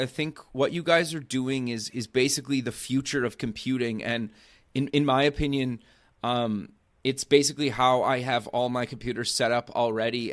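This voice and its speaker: A man's voice: mid-range voice, a bit bright. A man hosting a podcast, chatting casually with a guest and often using fillers like "kinda" and "sure.". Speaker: podcast host.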